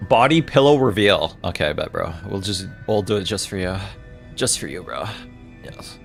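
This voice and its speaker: Joking gravelly-voiced young streamer man. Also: sits low, expressive, moderate pace, faint music behind. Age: 20s. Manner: joking, laughs often, reads chat messages aloud. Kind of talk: casual livestream chat while playing a game.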